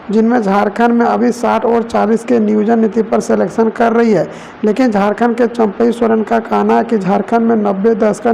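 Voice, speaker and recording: Woman, scripted online news voice-over, flat intonation, fry in the voice, formulaic announcing, faint noise. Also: medium pitch, low-quality recording. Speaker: news narrator.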